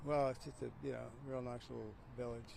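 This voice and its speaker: A man's voice: medium pitch, little pitch movement, quick pace, gravelly, a little mellow, a little hiss or hum. A man in his seventies from Oklahoma with a slight Oklahoma accent, giving laid-back, brief answers in a street interview.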